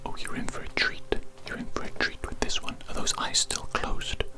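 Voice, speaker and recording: Hushed whispering ASMR doctor roleplayer. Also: normal speed. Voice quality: breathy, unvoiced whisper. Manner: soft reassuring patter with repeated phrases. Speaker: ASMR performer playing an examining doctor.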